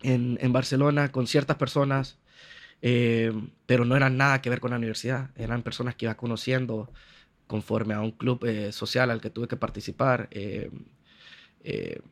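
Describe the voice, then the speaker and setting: A man's voice: mid-range voice, little pitch movement, normal speed. A man with a Honduran accent talking casually as a guest, retelling personal stories with quoted dialogue and slangy asides. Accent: Honduran Spanish. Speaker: podcast guest, trained architect. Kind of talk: casual conversational storytelling.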